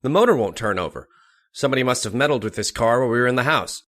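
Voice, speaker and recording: An actor playing a calm, practical family doctor who reasons things out in an old-time radio horror drama. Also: male voice, mid-range voice, racing, lightly acted. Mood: practical, steady. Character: steady, reassuring male family doctor.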